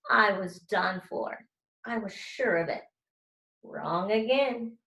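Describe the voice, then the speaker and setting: A woman's voice: medium pitch, slow, creaky, pushed and bright. A woman teacher doing a children's read-aloud, explaining things simply and speaking directly to her students. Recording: roomy, echoey sound.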